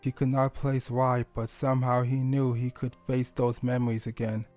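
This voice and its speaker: Mellow, even-toned male story narrator. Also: mid-range voice, soft background music. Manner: solemn, measured, deliberate. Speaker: audio story reader. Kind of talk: scripted narration of a sad fan fiction.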